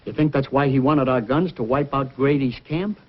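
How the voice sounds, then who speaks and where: An actor as a husky, practical frontier trader. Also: male voice, mid-range voice, moderate pace, highs cut off, close to an everyday voice. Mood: concerned. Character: gruff, practical frontier trading-post man. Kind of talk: old-time radio adventure acting. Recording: old band-limited radio recording, music.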